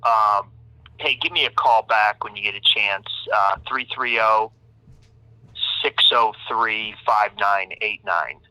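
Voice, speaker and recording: A man's voice: fairly low pitch, takes it slowly, pushed and bright, no room sound. A man leaving an informal voicemail as an attorney, stammering and repeating words, recorded over a telephone line. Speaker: public defender attorney.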